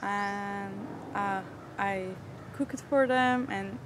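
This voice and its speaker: A woman's voice: medium pitch, fairly even intonation, very slow. Earnest young woman, traffic behind. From Iran. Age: 20s. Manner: earnest, searching for words in a second language. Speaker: interviewee. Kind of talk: personal storytelling.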